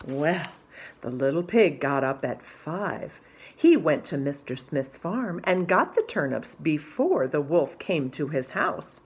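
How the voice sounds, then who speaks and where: A woman reading a children's story aloud as an expressive narrator. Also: sits low, slow, slight background noise.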